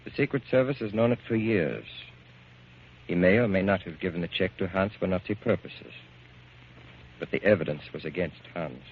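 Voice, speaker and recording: Grave, cool, low-voiced radio drama man. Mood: coolly insinuating. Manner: measured, deliberate, coolly insinuating. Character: cool, grave man coldly revealing grim news. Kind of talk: 1940s radio drama acting. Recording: muffled, hissy 1940s radio recording.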